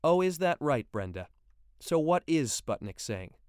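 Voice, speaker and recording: Man voicing a pompous suburban man, anxious, lecturing, loud, storybook reading. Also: on the high side, sing-song, unhurried, clear, resonant tone, dry recording, clean studio sound, pitched above the performer's own voice. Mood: indignant.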